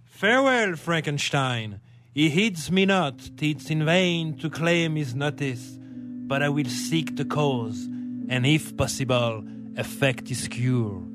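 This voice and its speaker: A man playing an earnest, concerned gentleman in a radio drama, with formal, measured theatrical delivery. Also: a little high, over music. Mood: resolved.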